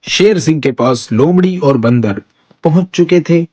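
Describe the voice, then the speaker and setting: A man's voice: fairly high pitch, expressive, unhurried, darkish tone, slightly rough, highs cut off. A man narrating an animal story for children as its storyteller.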